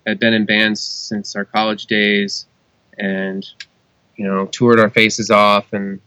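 A man, a musician guest on a podcast, talking in a relaxed, hesitant way with frequent fillers. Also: fairly low pitch, slow, clean tone, no room sound, rough recording. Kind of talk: casual interview chat.

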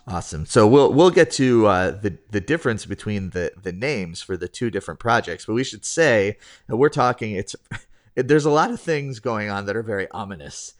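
A man with a fairly low voice hosts a podcast in loose, casual chat. He rambles, restarts his sentences and pokes fun at himself as he goes. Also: lively intonation, moderate pace. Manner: rambling, self-deprecating, many restarts. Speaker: podcast host.

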